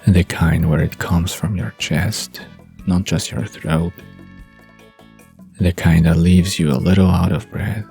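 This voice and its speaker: A man's voice: low voice, fairly even intonation, slow pace, creaky, dark tone, faint music behind, exaggerated voice. A man playing an amused, teasing young man with a laid-back manner in a romantic audio drama. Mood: soft, sincere.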